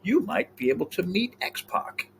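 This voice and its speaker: Animated, swearing podcast co-host man. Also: high-pitched, unhurried, creaky, slight background noise. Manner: animated, swearing, trails off mid-thought. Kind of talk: casual podcast chat.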